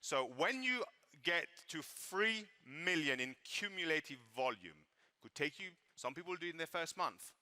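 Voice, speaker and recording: A man's voice: fairly high pitch, expressive, normal speed, pushed and bright. A man with a French accent co-presenting at a live business event, emphatic and playful with the crowd. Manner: emphatic, repeats words for stress, playful with the crowd.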